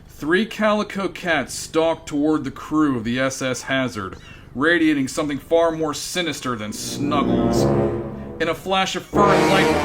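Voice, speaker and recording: A man narrates a sci-fi radio comedy in a dry, wry voice. He sits a little higher in pitch than most men. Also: fairly bright tone.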